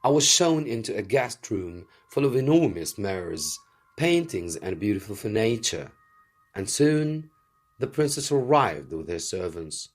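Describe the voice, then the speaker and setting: Male story reader, teacherly and deliberate, lowish register, highly varied intonation. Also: sing-song. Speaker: English teacher reading a novel aloud. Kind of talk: scripted story narration.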